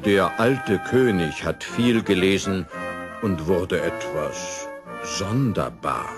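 Man acting as dignified storybook chancellor, deep, deliberate, cautious, stern, formal. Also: lively intonation, over music. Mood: cautious, grave.

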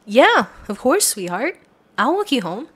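A woman's voice: high-pitched, very animated, measured pace, a bit bright, breathy edge. A woman performing a girlfriend roleplay for listeners, coaxing and affectionate. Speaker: ASMR roleplay creator.

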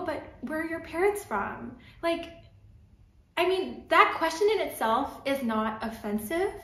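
A woman's voice: a little high, reverberant. A young woman from Toronto with a Canadian accent, chatting casually to the camera from her living-room sofa with lots of "like" and "um". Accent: Canadian English. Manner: chatty, lots of "like" and "um", gestures while talking. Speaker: vlogger. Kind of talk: casual vlog talking to camera.

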